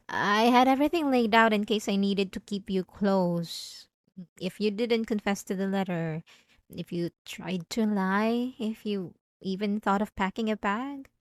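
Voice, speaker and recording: A woman's voice: mid-range voice, a little mellow, no room sound, studio quality, natural voice. A woman playing a possessive, obsessive wife in an intimate, emotional ASMR roleplay, speaking straight to the listener. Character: possessive, obsessive yandere wife, clingy and menacing.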